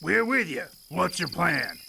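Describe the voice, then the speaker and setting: A man voicing an earnest little male insect in a cartoon game, creaky and pleading, over noisy chirping insects. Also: fairly high pitch, big pitch swings, unhurried, exaggerated voice.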